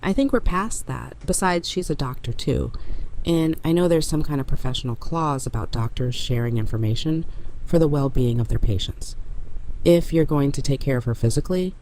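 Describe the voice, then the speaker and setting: A woman playing a caring, practical, quietly firm survivor in an audio drama. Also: very deep voice, expressive, normal speed, rough recording. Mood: firm, insistent. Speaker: voice actor in a fan audio drama. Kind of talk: conversational audio drama acting. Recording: clear background noise, ambience.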